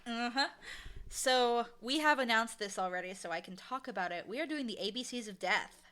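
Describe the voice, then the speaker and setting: A woman's voice: a little high, expressive, moderate pace, pushed and bright. A woman chatting casually as an interview guest, talking in an enthusiastic, emphatic way. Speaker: interview guest, audio drama showrunner and dialogue editor.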